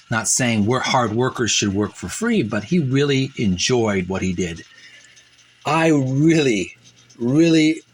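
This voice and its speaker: A man's voice: mid-range voice, fairly bright tone. A man hosting a retro gaming channel, talking in a chatty, earnest, folksy way as he comments and reads passages aloud. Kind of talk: casual commentary with read-aloud book passages. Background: music.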